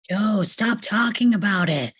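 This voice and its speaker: Husky bossy boy director, played by a woman. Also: mid-range voice, expressive, measured pace. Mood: irritated. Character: bossy, excitable boy amateur film director.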